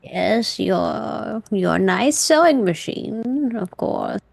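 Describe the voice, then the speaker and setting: A woman's voice: mid-range voice, expressive, drawn-out words, gravelly, slightly rough, no echo, full character voice. A woman voicing a sly, knowing homeless woman in a tabletop game, insinuating and over-polite. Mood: pointedly polite.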